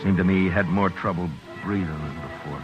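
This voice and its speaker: An actor playing a tough frontier marshal in an old radio drama, terse and commanding, also narrating. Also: male voice, low voice, brisk, dull audio, over loud music, natural voice. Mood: stern. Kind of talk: 1950s radio drama acting. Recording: noisy old radio recording.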